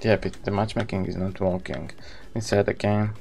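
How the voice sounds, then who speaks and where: A man's voice: fairly low pitch, flat delivery, normal speed, gravelly, darkish tone, soft background music. A man in his thirties with an Israeli accent, a native Hebrew speaker, chatting casually with viewers as he live-streams a video game.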